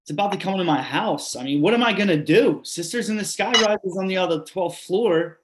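A man's voice: high voice, fairly quick, background noise. A man reading a dramatic monologue, telling in an urgent, repetitive way how floodwater trapped his family. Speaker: reader performing a monologue. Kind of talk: readers theatre monologue.

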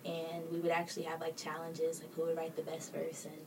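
A young woman from Kenya, a singer-songwriter, telling her story as a podcast guest in relaxed, unhurried chat. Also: deep voice, a loud crowd. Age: 20s. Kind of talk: casual interview chat. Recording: distant mic with clear background noise.

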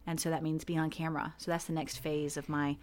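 Relaxed-voiced woman, lowish pitch. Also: fast talker, slight background noise. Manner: calm, relaxed voice. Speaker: hypnotist guest. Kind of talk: casual podcast conversation.

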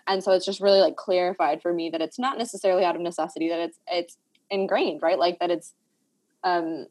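A woman's voice: on the low side, a little mellow. A woman hosting a casual talk, reflective and thinking aloud with many "like" fillers. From Puerto Rico. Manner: reflective, heavy use of "like" fillers. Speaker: podcast host. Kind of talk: casual conversational discussion.

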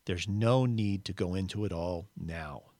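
Man reading as a calm, patient heavenly spirit. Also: low voice, unhurried, no room sound, studio quality. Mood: gentle, patient. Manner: calm, reassuring, plainspoken. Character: gentle, patient heavenly spirit, forgiven former murderer.

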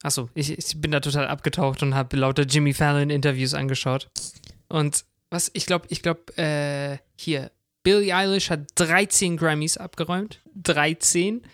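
Male podcast co-host, fairly high voice, dry close sound, informal and enthusiastic, drops in English words. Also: fairly even intonation, normal speed, a bit bright, no echo. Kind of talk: casual chat.

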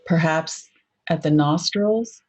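A woman leading a guided meditation in a soft, unhurried, gently inviting way, on a dull lo-fi recording. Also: low-pitched, breathy edge.

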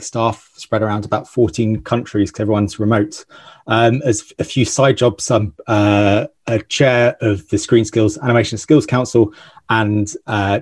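A man who co-founded an animation studio, introducing himself on a panel in a relaxed, measured way. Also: lowish voice.

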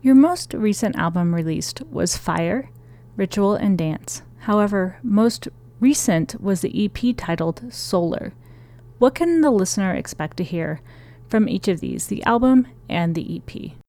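Woman radio host reading scripted questions; mellow tone. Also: medium pitch, animated, a little slow. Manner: reads from a prepared script. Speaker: radio interviewer.

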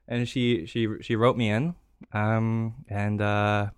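Low-voiced guy in his thirties. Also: level tone, takes it slowly, clear, resonant tone, dry recording, clean studio sound. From Canada and Greece. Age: 30s. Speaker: podcast guest, real estate investor. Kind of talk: casual conversational storytelling.

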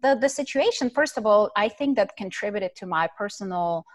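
A woman's voice: medium pitch, animated, fairly quick, dry recording. A middle-aged woman from Ukraine, a podcast guest telling her life story in a chatty way with many fillers. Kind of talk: casual interview storytelling.

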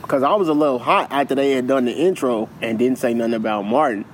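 A man's voice: medium pitch, quick pace, slight background noise. A man co-hosting a podcast, chatting casually with loose slang and lots of repeating himself. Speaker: podcast co-host. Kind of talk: casual chat.

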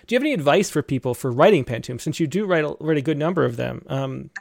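Guy interviewing a poet, kinda high for a man, lots of ums. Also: expressive, fast talker, creaky, dry recording, clean studio sound. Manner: hesitant, many ums. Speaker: interview host. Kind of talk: casual interview.

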